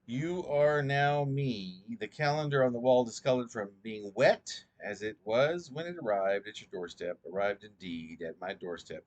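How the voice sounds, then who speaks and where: A man with a low voice reads poetry aloud as its author. He sounds sleepy and goes deliberately, often halting, stumbling over a word or repeating a phrase. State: drowsy, short on sleep. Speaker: poet reading own work. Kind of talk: slow, halting poetry reading.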